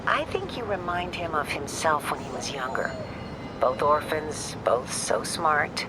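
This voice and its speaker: An actress voicing an older, caring aunt who speaks gently and affectionately. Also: female voice, low-pitched, animated, a little slow, very noisy.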